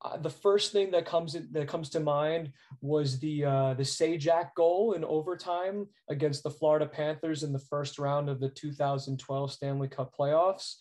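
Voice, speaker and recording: A man's voice: on the high side, fairly even intonation, moderate pace, few pauses, a little mellow. An American man telling stories as an interview guest, earnest and emphatic, often repeating words as he goes. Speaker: guest, sports arena announcer. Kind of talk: conversational interview storytelling.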